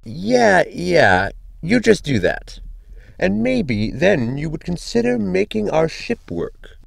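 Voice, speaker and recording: A man's voice: sits high, sing-song, slow pace, creaky, no echo, exaggerated voice. A man playing a prim, long-suffering spaceship crewman, formal and dry, in a science fiction comedy audio drama. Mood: dryly sarcastic.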